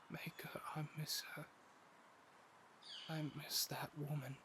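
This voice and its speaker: A man playing a good-hearted, earnest adventurer in a fantasy audio drama, with a halting delivery. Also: a little high, flat delivery, whispered. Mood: wistful. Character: good-hearted, thick-skulled married man on fantasy mission. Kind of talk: fantasy audio roleplay acting.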